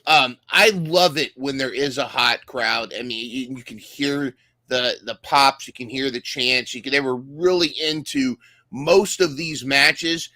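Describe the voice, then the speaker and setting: A man's voice: mid-range voice, measured pace, pushed and bright, no room sound. A man co-hosting a casual recap podcast, talking enthusiastically and often restarting his sentences. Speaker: podcast co-host.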